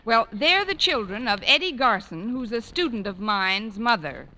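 A woman's voice: on the high side, animated, moderate pace, natural voice. An actress playing a wisecracking female schoolteacher in a 1940s radio comedy, sardonic and arch. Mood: wry, harried. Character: wry, wisecracking female schoolteacher with dry wit. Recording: very noisy old radio recording with clicks.

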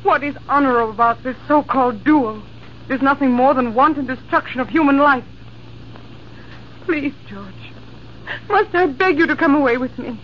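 A devoted young woman, played by an actress in a 1940s radio drama. Her voice is high, and she pleads with emotion in every line. The broadcast is muffled, with a faint hiss underneath. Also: moderate pace, long pauses, close to an everyday voice. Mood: pleading.

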